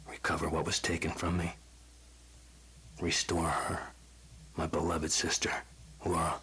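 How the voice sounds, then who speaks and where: An actor playing a cold, tormented, vengeful male scientist in a video game audio log, speaking slowly and deliberately with a hushed, breathy menace. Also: sits low, full character voice. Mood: brooding, tormented. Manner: slow, deliberate, clinical, brooding menace.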